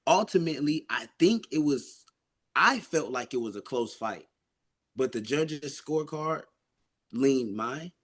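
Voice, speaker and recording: A man's voice: mid-range voice, lively intonation, a little slow, a bit bright. A man being interviewed as an amateur boxer, talking casually and reflectively in an earnest, emphatic way.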